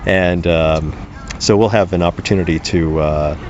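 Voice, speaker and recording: A man's voice: sits low, fairly even intonation, normal speed, darkish tone. A man answering casually as an interview guest outdoors, modest and hesitant, feeling his way through his sentences. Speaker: interview guest, blogger and panelist. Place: outdoors in a park. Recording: dull sound with faint outdoor noise.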